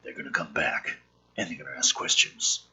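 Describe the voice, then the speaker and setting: A man playing a grave, restrained soldier father from a film script, speaking in a hushed manner. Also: extremely low pitch, little pitch movement, moderate pace, husky, pitched below the performer's own voice, exaggerated voice, poor audio. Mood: hushed, serious.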